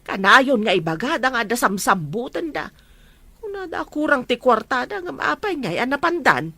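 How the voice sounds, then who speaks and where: Woman acting a nagging Ilocano mother, lively pitch. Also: moderate pace, dry recording, full character voice. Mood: doubtful, complaining. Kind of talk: amateur Ilocano radio-style family drama.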